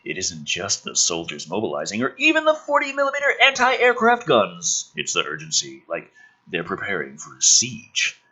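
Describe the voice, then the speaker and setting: A man's voice: deep voice, huge pitch swings, moderate pace, bright, forceful tone, husky, low-quality recording. A man reading a film script aloud theatrically and riffing on it with sarcastic, sweary commentary.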